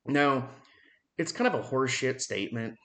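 A man in his thirties giving a blunt, frustrated opinion monologue on his own podcast, swearing freely and pausing often on "um.". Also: medium pitch, lively intonation, a bit bright. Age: 30s. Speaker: podcast host.

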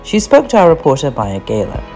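A woman's voice: extremely low pitch, huge pitch swings, brisk, over music, rough recording. A woman hosting a podcast, reading a scripted introduction in a presenter's style. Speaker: podcast host, editor-in-chief.

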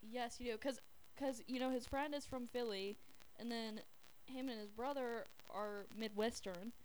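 High-voiced young woman chatting on a podcast. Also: steady tone, a little slow. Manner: informal, heavy use of "like" when quoting. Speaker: podcast co-host. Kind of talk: casual chat, telling anecdotes. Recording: noisy amateur podcast recording.

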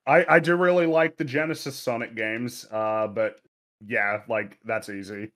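A man's voice: medium pitch, steady tone, a little slow, fairly bright tone. A man co-hosting a casual stream chat, speaking mildly and hesitantly with many fillers. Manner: hesitant, lots of fillers, mild. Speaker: co-host.

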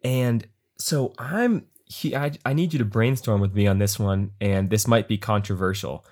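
A man interviewing a guest in a relaxed, joking, casual chat. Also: on the low side, normal speed.

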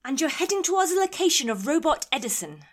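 A woman's voice: high voice, sing-song, quick pace. A woman playing a polite, clever, slightly hesitant helper in an audio drama, formal and careful in speech. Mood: guiding.

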